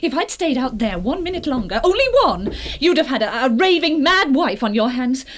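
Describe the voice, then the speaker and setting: High-strung wife, high swooping voice. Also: female voice, big pitch swings, slight background noise. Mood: exasperated. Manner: breathless, dramatic, halting repetitions. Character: high-strung, claustrophobic wife, melodramatic, self-deprecating. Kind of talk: vintage amateur radio drama acting.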